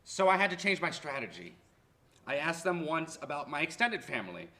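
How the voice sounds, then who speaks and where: A man's voice: on the high side, big pitch swings, brisk, bright, forceful tone. A man playing a reflective college history professor who reminisces about lost parents in a staged-reading monologue. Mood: wistful, earnest.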